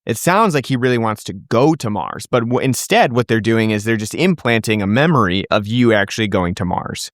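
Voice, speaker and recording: A man co-hosting a film podcast in casual chat, explaining ideas in a relaxed, easygoing way. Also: lowish voice, brisk, few pauses, no room sound, clean studio sound. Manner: relaxed, explanatory, laughs often. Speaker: podcast co-host. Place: podcast studio.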